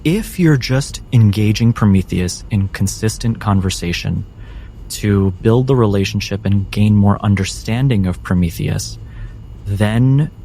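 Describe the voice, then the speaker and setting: Male game master, low and mellow, measured as he sets scenes and explains choices, light music behind. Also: slight background noise, rough recording. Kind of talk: guiding and narrating a tabletop game.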